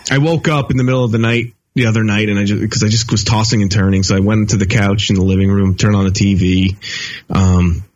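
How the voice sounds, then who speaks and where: A man's voice: fairly low pitch, steady tone, fast, darkish tone, highs cut off. A man co-hosting a casual radio talk show, sounding nasal and stuffed up from a cold, rambling with lots of fillers. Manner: rambling, filler-heavy, laughs often. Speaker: co-host.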